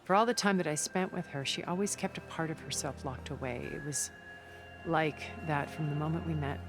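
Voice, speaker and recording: A cultured older woman from a video game drama, with a creaky edge to the voice. The delivery is measured and formal, calculating but tinged with wistfulness, over background music. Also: low voice. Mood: wistful recollection.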